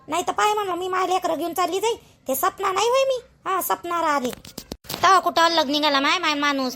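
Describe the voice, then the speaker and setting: A woman's voice: high voice, fairly bright tone. A woman playing an aggrieved, talkative village daughter-in-law in a cartoon, chatty and emphatic, with a Vidarbha Marathi accent. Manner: chatty, complaining, emphatic with repeated phrases. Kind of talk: colloquial cartoon gossip dialogue.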